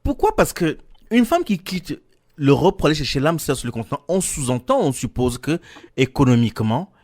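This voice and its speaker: A man hosting a radio talk show, formal and measured, steering callers and guests with questions. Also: a little high, big pitch swings, a little mellow. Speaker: radio host.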